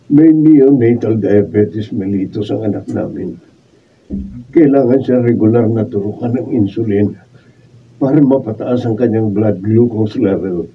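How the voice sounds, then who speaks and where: A man's voice: fairly low pitch, close to an everyday voice. Guy playing remorseful filipino dad, radio drama, earnest n apologetic, far from mic, faint noise. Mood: resolute, sorrowful. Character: guilt-ridden, pleading husband and father. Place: radio drama recording studio. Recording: phone-like, distant, slightly noisy pickup.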